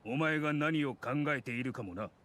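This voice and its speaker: A man's voice: mid-range voice, racing, a bit bright, a little hiss or hum, close to an everyday voice. Male actor as stern cold official, curt and condescending, rattles it off, japanese game voice. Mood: contemptuous. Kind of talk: Japanese anime game voice acting.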